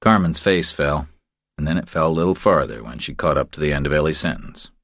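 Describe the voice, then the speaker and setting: A man's voice: very deep voice, fairly quick, a bit bright, no room sound, studio quality. A man narrating a thriller audiobook from a script.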